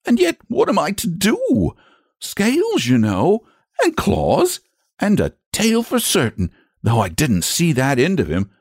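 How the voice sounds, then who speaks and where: A frightened country father from a storybook, played by a male reader. His voice is pushed high and swoops dramatically as he rambles in rustic dialect, nervous and easily indignant. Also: huge pitch swings, measured pace, slightly rough, no room sound, clean studio sound, full character voice. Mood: uneasy, bewildered. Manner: rambling, dialect country speech with emphatic repetitions.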